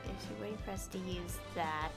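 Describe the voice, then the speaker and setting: A woman's voice: lowish voice, fairly even intonation, a little fast. A woman giving casual live commentary as she plays, thinking aloud as a game streamer. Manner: thinking aloud, often chuckling. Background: game music.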